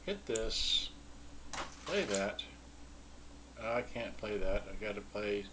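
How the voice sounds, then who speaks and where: A man giving a casual, unscripted product demonstration, halting and scattered as he mutters to himself. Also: lowish voice, expressive, bright, forceful tone. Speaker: seller demonstrating vintage cassette radio. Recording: very noisy home video with clicks.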